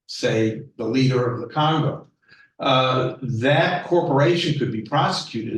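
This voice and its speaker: A man answering as a judge on a panel, measured and careful, backing up to restate his points. His mid-pitched voice comes from far off the mic in a strongly echoing room. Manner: measured, self-correcting. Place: law school lecture room.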